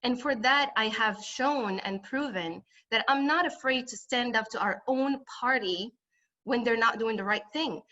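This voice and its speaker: A woman's voice: on the high side, measured pace, bright, forceful tone, dull audio. A woman from Morocco speaking as a congressional candidate at a political forum, with emphatic, repetitive campaign phrasing.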